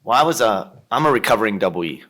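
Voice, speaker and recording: Fast-talking low-voiced Ohio man. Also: expressive, fairly bright tone. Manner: jokey, rambling, leans on "kind of". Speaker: podcast guest. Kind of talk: casual interview chat.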